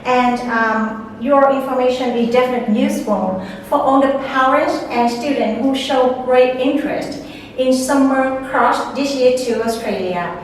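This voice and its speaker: A woman with a Vietnamese accent hosting a formal interview in English, polite and measured. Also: sits high. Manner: polite, formal, frequent short acknowledgments. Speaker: interview host. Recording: echoey room, distant from mic, faint noise.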